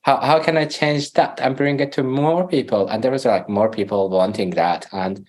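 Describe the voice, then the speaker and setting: A man's voice: medium pitch, normal speed. Man telling his story. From Iran. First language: Farsi. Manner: animated storytelling, acts out his own past dialogue, laughs often. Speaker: podcast guest. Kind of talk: conversational interview.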